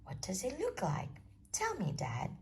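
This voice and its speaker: A woman voicing a curious, brave young female Gruffalo child in a storybook, asking eager questions in a raised voice. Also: mid-range voice, huge pitch swings, unhurried, darkish tone, slight background noise, voice pushed up, full character voice.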